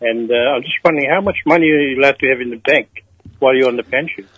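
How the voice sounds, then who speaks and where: An older man from Fiji calling in to a radio show, speaking in a hesitant, halting way. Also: medium pitch, no echo, muffled recording. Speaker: caller. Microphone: telephone.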